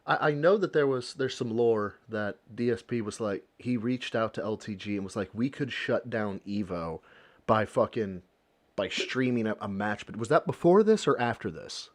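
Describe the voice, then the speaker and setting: Male reaction host, animated swings in pitch, crude banter. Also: normal speed. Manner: profane, joking, cutting in over the clip. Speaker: livestream host. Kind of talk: casual reaction commentary.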